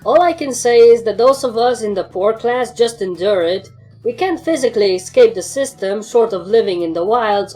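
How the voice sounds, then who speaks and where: A woman's voice: a little high, darkish tone, close to an everyday voice. A woman voicing a world-weary, defensive pony mare in a fan fiction story, speaking in a guarded, matter-of-fact way. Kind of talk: fan fiction character dialogue.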